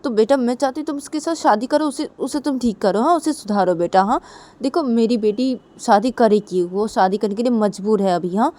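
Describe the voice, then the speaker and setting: A woman's voice: on the high side, darkish tone, voice pushed up, lightly acted. A woman voicing an anxious middle-aged mother who pleads tearfully and repeats her entreaties. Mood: insistent pleading. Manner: repetitive, imploring, drawn-out pleas.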